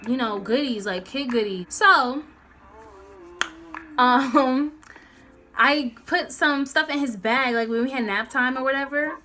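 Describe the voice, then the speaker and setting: A woman's voice: sits high, sharp tone, a little hiss or hum. A young woman telling a casual, comedic story in a sassy, dramatic, slangy way, acting out the dialogue. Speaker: storytelling vlogger. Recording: music playing behind the voice. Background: clear background music.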